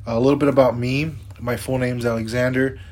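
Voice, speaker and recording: A man's voice: medium pitch, flat delivery, fairly quick, darkish tone. A man in his twenties co-hosting a podcast, chatting in an upbeat, loose way with lots of fillers. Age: 20s. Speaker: podcast co-host. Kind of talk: casual podcast chat.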